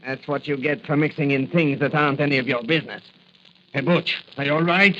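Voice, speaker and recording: A man's voice: mid-range voice, fairly even intonation, brisk, fairly bright tone, natural voice. An actor playing a smug, slangy gangster henchman in 1940s radio style. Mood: gloating. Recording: muffled old radio recording, faint noise.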